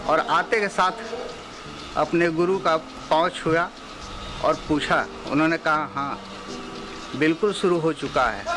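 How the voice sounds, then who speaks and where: A man's voice: a little high, noisy background. A man with a Bihari accent giving a tribute as a member of an arts group. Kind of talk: tribute testimonial. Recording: music behind the voice.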